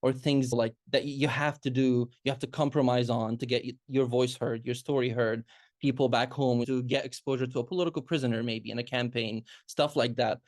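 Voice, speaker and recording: A man's voice: mid-range voice, steady tone, a little fast, a little mellow, no room sound, clean studio sound. A man, an editor, reflecting earnestly and searchingly in a roundtable discussion over a video call.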